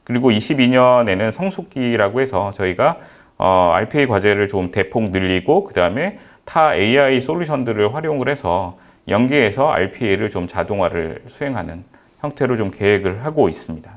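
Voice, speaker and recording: A man giving a business presentation as a conference speaker, with a halting delivery that often corrects itself. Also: lowish voice, expressive. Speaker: conference presenter, utility company manager.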